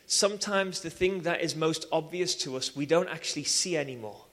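A man from Liverpool with a Liverpool accent, preaching a sermon with personal testimony in an animated way, full of restarts and "um"s. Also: on the high side, brisk. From England. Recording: long hall reverb.

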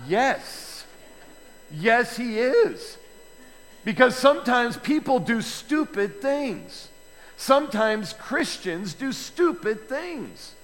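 A man preaching a sermon as pastor, chatty and lively, with asides to the congregation. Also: extremely high pitch, slow, faint music behind. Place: church sanctuary. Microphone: microphone with feedback issues. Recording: long hall reverb, faint ambience.

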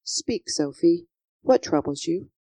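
A gentle storybook king, read by a woman, speaking slowly in a low, mellow voice. He is solemn and measured, reassuring yet full of kindly authority. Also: animated, no echo, full character voice. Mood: gently firm. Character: gentle, solemn kingly man, patient and reassuring. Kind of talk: children's storybook character voice.

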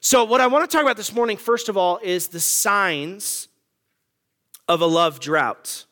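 A man's voice: high voice, a little slow, pushed and bright. A man in his thirties preaching a sermon on marriage in a conversational, joking way, asking the congregation questions. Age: 30s. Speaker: pastor.

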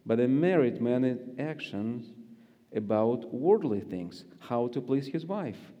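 Male guest preacher, Russian accent, unhurried, halting delivery, long hall reverb. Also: mid-range voice, animated, warm tone, lo-fi sound. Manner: friendly, joking, halting with many fillers. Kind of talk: sermon with scripture reading.

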